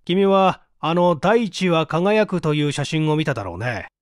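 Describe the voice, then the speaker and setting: A man's voice: a little high, big pitch swings, moderate pace, no room sound, clean studio sound. A man voicing a smug, eager young man in a storybook reading, confident and teasing. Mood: smug, explaining.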